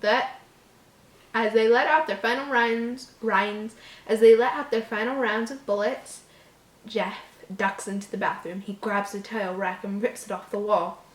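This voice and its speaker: A woman's voice: medium pitch, fairly even intonation, a little slow. Echoey woman reading horror with exasperated asides. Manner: stumbles over words, breaks off into mocking, exasperated asides. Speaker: host reading a story aloud. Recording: echoey room.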